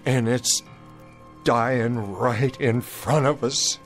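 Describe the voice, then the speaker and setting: An actor playing a troubled Confederate soldier, speaking in a grave, earnest way in an old-time radio drama. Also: male voice, mid-range voice, steady tone, very slow. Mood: desperate. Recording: old radio recording with music.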